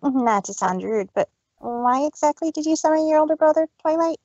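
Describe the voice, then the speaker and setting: A woman's voice: high voice, expressive, brisk, dark tone, no room sound, muffled recording, voice pushed up. A woman voicing a proud, haughty pony mare in a cartoon fan story, speaking formally. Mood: proud, curious. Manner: haughty, formal, third-person speech. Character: proud show-mare pony speaking of herself in third person.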